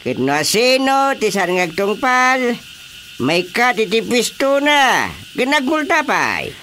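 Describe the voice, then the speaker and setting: A male actor plays a strict village chairman in an Ilocano-accented radio drama. His delivery is slow and authoritative, with wide swings of pitch as he instructs. Faint noise sits behind the voice. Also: very animated, bright, forceful tone, heavily acted. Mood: stern.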